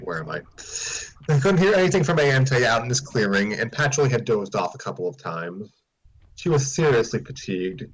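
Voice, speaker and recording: A man's voice: medium pitch, poor audio. A man reading a story aloud informally, now and then stumbling and repeating words. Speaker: story reader on a stream.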